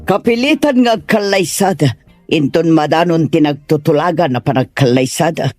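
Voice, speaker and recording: Haughty Ilocano mother, acted by a woman, swinging pitch. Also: expressive, moderate pace, darkish tone, faint music behind. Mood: scheming disapproval. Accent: Filipino Ilocano. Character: disapproving, proud well-to-do mother opposing a wedding. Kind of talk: radio drama acting in dialogue.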